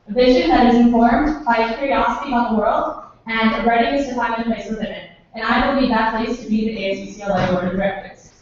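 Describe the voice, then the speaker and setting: A woman's voice: on the high side, far from the mic, slight background noise. A young woman applying for a student board post, giving an earnest, self-assured statement and answering questions. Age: young adult. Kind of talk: prepared opening statement and answers. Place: council meeting room. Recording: dull, echoey room from afar.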